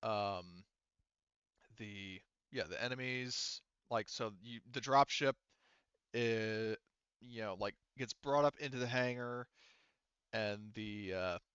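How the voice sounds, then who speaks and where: A man running a tabletop game as game master, explaining rules casually in a halting, self-correcting way. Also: on the low side, a bit bright, clear, resonant tone, no room sound, dull audio.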